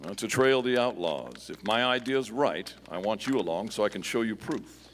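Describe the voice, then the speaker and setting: A man acts a masked western hero with a low, measured, authoritative voice. It is old-time radio acting, live in a reverberant hall with clear background noise. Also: animated, close to an everyday voice. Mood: grave, assured. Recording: echoey hall, noisy live stage recording.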